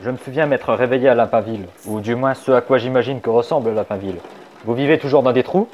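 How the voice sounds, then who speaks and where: A sly young male fox, wry and teasing but quietly confiding, played by a man in a fan dub. His voice sits at an ordinary pitch for a man. The recording is a little muffled, with a faint hiss underneath. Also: quick pace, lightly acted. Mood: tender.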